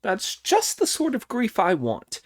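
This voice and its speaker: A man's voice: on the high side, a little slow, pitched above the performer's own voice, heavily acted. A man reading an audiobook, voicing a cheeky, boastful male monkey king who bosses the others around. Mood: gleeful. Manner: boastful, commanding, excitable.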